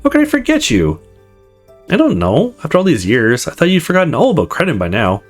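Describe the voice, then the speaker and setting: A man playing a warm, teasing woman greeting an old friend in a visual novel game. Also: a little high, huge pitch swings, brisk, faint music behind, voice pushed up. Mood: delighted, teasing. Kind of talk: visual novel character read-aloud.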